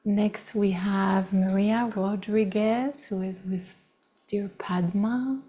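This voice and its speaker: Female host of an online gathering; mellow voice, unhurried delivery, brief welcomes. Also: mid-range voice, fairly even intonation. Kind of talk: informal hosting, welcoming participants.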